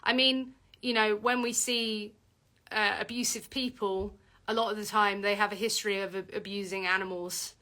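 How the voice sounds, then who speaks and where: A woman's voice: fairly even intonation, moderate pace, pushed and bright. A woman hosting a casual livestream Q&A, reading out viewer comments and answering with many fillers and restarts. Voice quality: deep voice for a woman.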